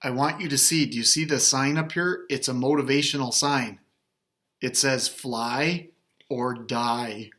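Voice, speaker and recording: A man's voice: mid-range voice, fairly even intonation, measured pace, pushed and bright. A man chatting casually and playfully as he comments on a story being read aloud.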